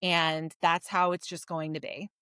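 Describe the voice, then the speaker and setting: A woman's voice: fairly low pitch, level tone, measured pace, a bit bright, clean tone, no echo, clean studio sound. A woman co-hosting a podcast, recapping a story in an informal, conversational way. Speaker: podcast co-host. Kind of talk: casual book discussion, recapping a story.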